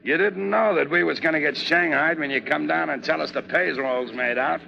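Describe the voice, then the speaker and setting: Gravelly, scornful tough sailor. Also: male voice, a little high, normal speed. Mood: sneering. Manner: gruff, sneering, rough slangy speech. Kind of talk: 1940s radio drama acting. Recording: dull, lo-fi 1940s radio recording.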